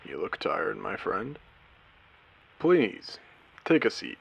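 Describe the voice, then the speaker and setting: A man playing a courteous, eerie AI who hosts an abandoned post-apocalyptic casino in an audio drama, speaking theatrically with deliberate pauses. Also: very animated, exaggerated voice, poor audio. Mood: suave, welcoming. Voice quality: low, creaky voice. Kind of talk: scripted character audio drama monologue.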